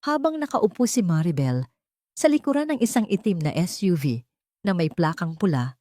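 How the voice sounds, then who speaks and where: Female audio-story narrator, dramatic scripted read, lower register, very dry sound. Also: sing-song, normal speed, warm tone, no room sound.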